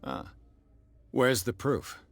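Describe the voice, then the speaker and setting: An actor playing a terse, gruff private investigator who questions people bluntly in a video game, with a husky voice. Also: male voice, medium pitch, big pitch swings, takes it slowly. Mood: skeptical. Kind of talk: video game cutscene dialogue.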